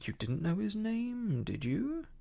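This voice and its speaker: Man playing storybook seafaring landlord, cunning, blustering, salty sailor talk, gravelly creak, wildly animated pitch. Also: takes it slowly, exaggerated voice. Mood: stern. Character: cunning one-legged seafaring tavern landlord, hearty then stern.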